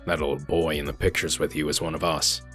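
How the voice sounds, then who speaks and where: A man playing a gruff, cold, commanding wolf sheriff in human form, curt and threatening, in a comic dub. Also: a bass voice, little pitch movement, brisk, fairly bright tone, soft background music. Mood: cold, menacing. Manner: curt, threatening, coolly controlled.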